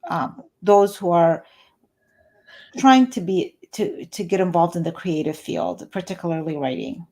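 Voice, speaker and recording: A woman hosting an interview, asking questions conversationally and rephrasing them haltingly as she goes. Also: lowish voice, dark tone. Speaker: interviewer, host.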